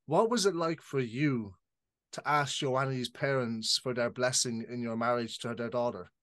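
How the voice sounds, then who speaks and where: A man's voice: mid-range voice, measured pace, a bit bright, no room sound. Man interviewing in a dry room. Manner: attentive, with frequent short affirmations. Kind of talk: casual interview.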